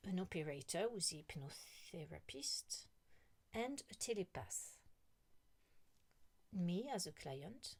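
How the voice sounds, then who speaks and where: Middle-aged woman vlogging, slow rambly talk, lowish voice, keeps dropping to a whisper. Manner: slow, rambling, musing, often drops to a whisper. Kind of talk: casual monologue to camera.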